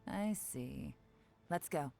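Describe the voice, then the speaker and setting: A woman playing a composed, commanding intelligence agent in a video game, measured and authoritative. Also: low voice, expressive, vocal fry, music in the background, exaggerated voice. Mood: cool, probing.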